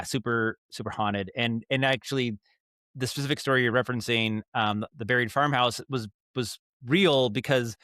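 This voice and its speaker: An American man speaking as a podcast guest, telling stories in a casual, rambling and animated way. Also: medium pitch, clear, resonant tone, dry recording, clean studio sound. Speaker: podcast guest, audio drama writer and producer. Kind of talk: casual interview storytelling.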